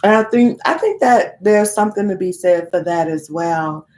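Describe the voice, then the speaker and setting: A woman's voice: fairly low pitch, normal speed, a little mellow. A woman in her thirties with a congested cold, talking candidly and rambling to viewers on a live stream. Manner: rambling, candid, swears casually. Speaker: vlogger hosting a live stream.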